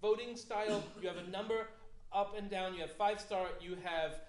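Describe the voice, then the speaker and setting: A man's voice: sits high, steady tone, moderate pace, slight background noise. A man presenting an awards show on a theatre stage, chatty and playful, often correcting himself. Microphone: handheld mic, far camera. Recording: echoey hall, from far off.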